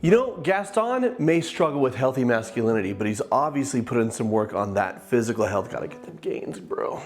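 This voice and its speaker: A man's voice: mid-range voice, lively intonation. Earnest man explaining film psychology. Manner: earnest, explanatory, quick to laugh. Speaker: therapist co-host. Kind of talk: casual film analysis chat.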